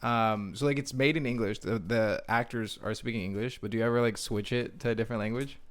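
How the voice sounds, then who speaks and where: A man's voice: medium pitch, steady tone, brisk. A man co-hosting a podcast in casual conversation, easygoing and inclined to ask questions. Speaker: podcast co-host. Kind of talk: casual chat.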